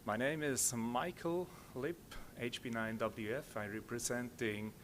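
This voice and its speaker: A middle-aged man with a Swiss accent giving an informal slide presentation in a halting, hesitant way. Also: fairly even intonation, a bit bright. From Switzerland. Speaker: conference speaker. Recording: low rumbling background noise.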